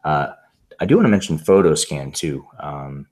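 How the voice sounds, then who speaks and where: Very low-pitched man, slightly hoarse voice, casual software demo, video call mic. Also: normal speed. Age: adult with a young son. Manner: rambling, with many fillers and restarts. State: recovering from laryngitis. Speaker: presenter demonstrating software. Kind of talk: casual screen-share tutorial.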